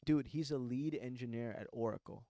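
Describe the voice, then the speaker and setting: A man in his twenties from Pakistan, chatting on a podcast in an animated, emphatic way with slangy asides. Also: mid-range voice, moderate pace, no echo. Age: 20s. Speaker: podcast co-host. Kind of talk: casual chat.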